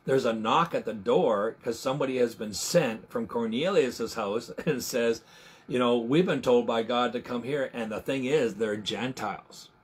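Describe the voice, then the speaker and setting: Husky-voiced older man preaching informally. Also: mid-range voice. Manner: conversational, halting, with repeated words and rephrasing. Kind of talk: informal Bible teaching, sermon to camera. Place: small home room, indoors.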